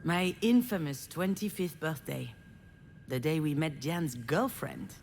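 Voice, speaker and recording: A woman's voice: low-pitched, lively intonation, a little slow, a little mellow, soft background music. An actress playing a frustrated, self-deprecating woman who narrates her family wounds in a confiding, bitter game monologue. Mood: wry. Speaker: visual novel protagonist. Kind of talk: dramatic first-person game narration.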